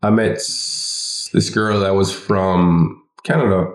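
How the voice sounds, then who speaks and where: A man's voice: deep voice, steady tone, slow pace, roomy sound, poor audio. A man from Jamaica co-hosting a podcast, telling stories in an easygoing way, full of "yo" and "like". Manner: easygoing storyteller, uses "yo" and "like". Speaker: podcast co-host. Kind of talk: casual chat.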